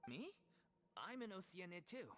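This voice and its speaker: Game role: hearty male troupe member who loves his food, easygoing, very expressive delivery. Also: sits high, moderate pace, a little hiss or hum. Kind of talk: English video game dub acting.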